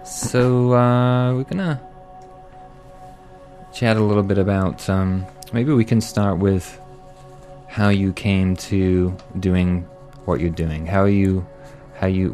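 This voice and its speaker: A man hosting a radio show from the studio, asking slow, halting questions with many fillers in a casual on-air interview. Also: sits low, warm tone. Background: faint ambient music.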